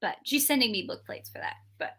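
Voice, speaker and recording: A woman's voice: a little high, lively intonation, brisk, a bit bright, breathy edge. A woman co-hosting a live book readalong show, chatting casually and animatedly with a friend. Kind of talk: casual chat between friends.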